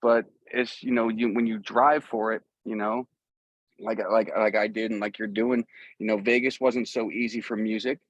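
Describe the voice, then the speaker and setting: A man's voice: on the low side, little pitch movement, moderate pace, dry recording, lo-fi sound. A middle-aged man chatting casually as an interview guest, telling stories in a rambling, easygoing way. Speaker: guest, musician. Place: outdoors.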